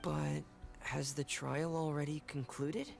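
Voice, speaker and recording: An actor playing a quiet, reserved young diver in a game, soft-spoken and hesitant, over game music. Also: male voice, fairly high pitch, level tone, slow, vocal fry, exaggerated voice. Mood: shy. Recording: game soundtrack underneath.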